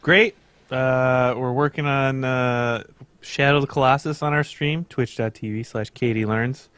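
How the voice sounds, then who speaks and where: A man's voice: medium pitch, steady tone, unhurried, fairly bright tone, clean tone, no echo. A young man chatting casually on a livestream panel. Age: young adult. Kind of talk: casual chat.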